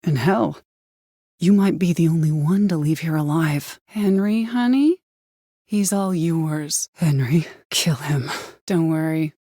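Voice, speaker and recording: Casting: bitter middle-aged mother turned game villain, low register, commanding, coldly menacing, close dry recording. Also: dark tone, slightly rough, no echo, exaggerated voice. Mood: cold menace. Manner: commanding, deliberate, threatening. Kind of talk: dramatic video game voice acting.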